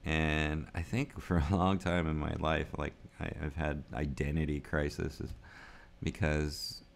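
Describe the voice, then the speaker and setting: Super low gravelly guy in his 40s, rambling vlog talk, laughs a lot. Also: little pitch movement, takes it slowly. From United States. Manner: rambling, musing, laughs often. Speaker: vlogger. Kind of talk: casual unscripted vlog talk.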